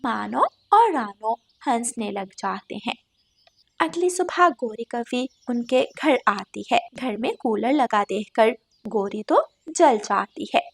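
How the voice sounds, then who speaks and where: A woman's voice: on the high side, animated, slow pace, creaky. A woman narrating a scripted cartoon bird story.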